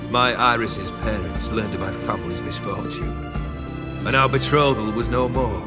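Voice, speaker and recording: A man's voice: fairly low pitch, normal speed, lightly acted. An actor playing a world-weary, cursed nobleman who talks with bitter, sardonic humor, with a game score behind him. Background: game music score.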